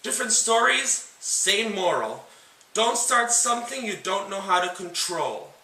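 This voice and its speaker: A man narrating a scripted book and film comparison as host, speaking in a deliberate, enunciated, presentational way. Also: high-pitched, animated, bright, forceful tone. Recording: echoey room sound.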